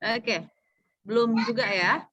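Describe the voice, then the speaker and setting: A woman's voice: fairly high pitch, slow pace, a bit bright, dull audio. A woman moderating a webinar Q&A over a video call, upbeat, calling on askers by name.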